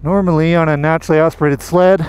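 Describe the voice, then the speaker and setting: A man from Canada giving casual, enthusiastic commentary while riding a snowmobile through deep snow, recorded on a helmet camera. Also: fairly even intonation, fast, darkish tone, clear, resonant tone. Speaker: magazine editor reviewing a snowmobile. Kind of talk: casual ride-along commentary. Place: outdoors in deep snowy forest on a snowmobile. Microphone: helmet-mounted action camera. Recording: engine and wind noise.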